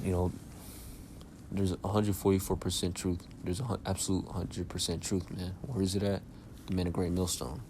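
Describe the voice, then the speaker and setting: A soft-spoken young man teaching scripture casually, reading verses aloud and checking in with "all right?" and "okay?". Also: low-pitched, background noise. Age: young adult. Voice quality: soft-spoken by his own account. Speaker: Bible teacher.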